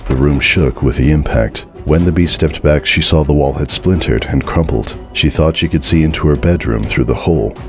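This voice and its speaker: A man's voice: very deep voice, normal speed, few pauses, music in the background. A man narrating a horror story as a dramatic reading.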